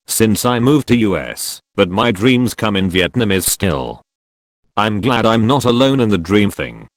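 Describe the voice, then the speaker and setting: A man reading online comment threads aloud as scripted narration, steady, with dips on short replies. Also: fairly low pitch, no room sound, clean studio sound. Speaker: narrator reading forum posts.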